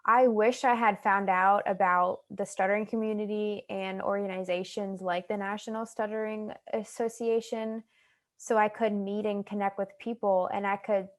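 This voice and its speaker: A young woman with an American accent, a guest talking about her own experiences in a relaxed, chatty way with many fillers. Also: mid-range voice, moderate pace. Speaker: guest, stuttering advocate. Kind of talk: casual interview chat.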